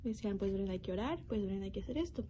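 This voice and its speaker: A young woman from Mexico, sharing an earnest, reflective personal faith testimony with pauses and restarts. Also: mid-range voice, lively intonation, mellow, slight background noise. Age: young adult. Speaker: youth program speaker.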